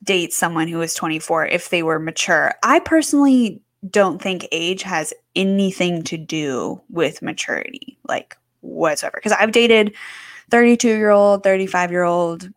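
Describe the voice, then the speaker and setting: A woman in her twenties co-hosting a podcast, chatting casually with lots of fillers and quick bursts of speech. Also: lowish voice, no room sound, clean studio sound. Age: 20s. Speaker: podcast co-host. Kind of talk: casual chat.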